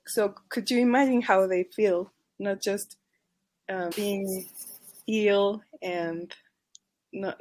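Mexican-accented woman guest, mid-pitched, earnest, self-correcting storyteller. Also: poor audio. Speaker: charity advocacy worker. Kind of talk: podcast guest telling a case story.

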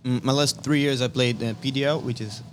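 A man in his twenties from Brazil, speaking English with a Brazilian accent as a non-native speaker, answering interview questions in a friendly, halting way. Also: medium pitch, steady tone. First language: Portuguese. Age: 20s. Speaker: guest soccer player. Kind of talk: casual interview. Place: brewery.

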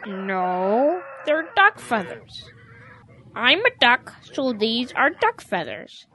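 A woman's voice: a little high, sing-song, takes it slowly, pushed and bright, a little hiss or hum, heavily acted. A woman voicing a childish, stubborn boy calf who insists he is a duck, in an animal audio drama. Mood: proud, insistent.

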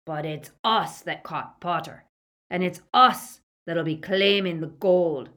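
A rough, greedy male thug from a fantasy audiobook, put on by a woman narrator. The voice is low and growling, with a coarse, mercenary manner. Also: slow pace, bright, forceful tone. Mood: insistent, greedy. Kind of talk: audiobook character acting.

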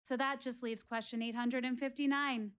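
An actress playing a reflective, wistful woman who quizzes her audience about life in a deliberate, expressive theatrical monologue, speaking as if confiding in them. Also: a little high, clean tone, close to an everyday voice. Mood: reflective, earnest. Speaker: actress in a one-woman show.

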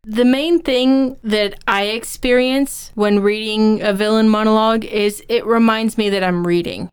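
A woman's voice: on the high side, fairly even intonation, measured pace, clear, resonant tone. A woman co-hosting a podcast on writing, explaining her opinions conversationally as an author and instructor. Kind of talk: conversational craft discussion.

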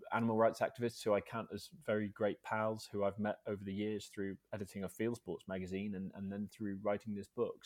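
A young man from Scotland speaks as an interview guest, his voice sitting fairly low and recorded close and dry with no room around it. He talks thoughtfully and hesitantly, with frequent ums and restarts as he works through his answers. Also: darkish tone, no room sound. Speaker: guest, journalist and author. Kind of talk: relaxed interview talk.

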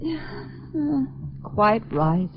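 A woman's voice: a little high, very animated, drawn-out words, full character voice. An actress playing a nervous, evasive young woman in a 1950s radio drama, hesitant and protesting. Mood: reluctant. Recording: muffled, hissy old radio recording.

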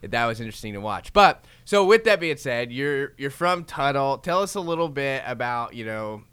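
A young man hosts a relaxed church conversation, his voice bright and crisp. He tells stories in an animated, chatty way and laughs easily. The sound is dry and close, with no room around it. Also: medium pitch, moderate pace, no echo. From Florida. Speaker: podcast host. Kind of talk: casual interview chat.

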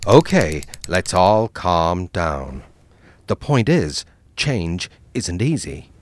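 A man doing a suave deep-voiced cannibal doctor. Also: big pitch swings, no echo, full character voice. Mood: measured. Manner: soft, measured and cultured. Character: suave cannibal doctor villain, calm group leader.

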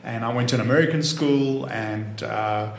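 A man's voice: mid-range voice, moderate pace, vocal fry, echoey room, a little hiss or hum. A man in his fifties who grew up in Israel, talking easily as an interview guest and leaning on "you know" as he goes. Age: 50s. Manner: easygoing, many "you know" fillers and restarts. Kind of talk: relaxed conversational interview.

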